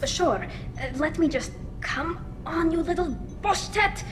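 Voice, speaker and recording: A woman's voice: very high voice, expressive, unhurried, background noise. A woman voicing an earnest young alien starship engineer in a video game, explaining things in a chatty, self-deprecating way. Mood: friendly, then exasperated.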